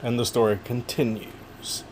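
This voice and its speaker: A man with a relaxing voice casually narrating wildlife camera footage, gentle and folksy with joking asides. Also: mid-range voice, level tone, unhurried, noisy background. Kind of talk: casual narration over video.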